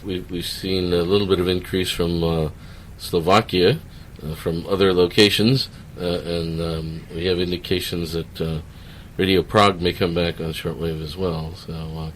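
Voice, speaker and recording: A man's voice: low-pitched, level tone, moderate pace, hardly any pauses, a little hiss or hum, poor audio. A man hosting a radio interview, prompting guests with short leading questions. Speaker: radio show host.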